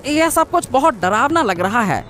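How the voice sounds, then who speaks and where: Someone playing a scared village healer guy, high voice, stutters a lot, cartoon, faint music. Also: sing-song, moderate pace, a bit bright, exaggerated voice. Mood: fearful. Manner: stammering, timid, hesitant. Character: frightened, submissive village healer man. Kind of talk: Hindi cartoon story voice acting.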